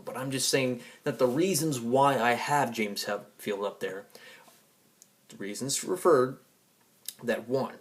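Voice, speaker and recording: A man giving a rambling personal monologue to an audience in an emphatic, defiant, profanity-laced way. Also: mid-range voice. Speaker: vlogger and music fan.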